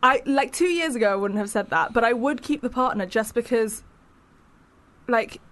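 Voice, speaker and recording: A woman's voice: a little high, moderate pace, a bit bright. A woman who is a podcast guest, talking frankly and chattily and speaking her mind. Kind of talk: casual conversational interview.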